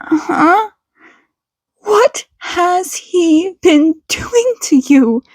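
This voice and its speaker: A woman reader acts out a protective mother from a story, upset and stern. Her voice is very high and breathy, full of emotion. The recording is dry and close. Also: animated, very slow, dry recording, full character voice. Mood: anxious. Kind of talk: storybook character acting.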